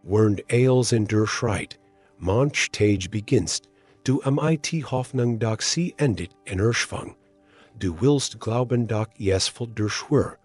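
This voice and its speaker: A man speaking a slow, consoling devotional monologue in the voice of God. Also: lowish voice, slightly rough, no echo. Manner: soft, consoling, unhurried. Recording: faint music underneath.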